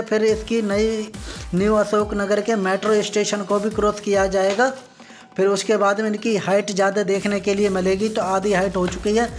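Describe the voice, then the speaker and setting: A man giving an informal, repetitive on-site video commentary, explaining things step by step to viewers he addresses as friends. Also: high voice. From northern India. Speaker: vlogger. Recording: music behind the voice. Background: background music.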